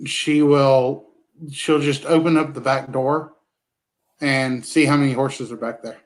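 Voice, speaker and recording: Male player, mid pitch, hesitant and questioning, describes what his character does. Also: fairly even intonation, a little slow, low-quality recording. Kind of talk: tabletop game discussion.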